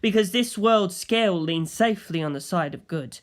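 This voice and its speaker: A male narrator with a fairly high voice reads a first-person story. The delivery is scripted audiobook storytelling. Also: normal speed.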